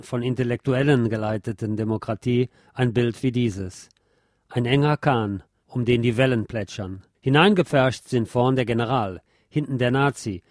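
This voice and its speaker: A man with a deep voice reading a scripted essay aloud in a measured, formal, literary manner. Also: a little mellow, no echo, studio quality. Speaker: host reading essays on history.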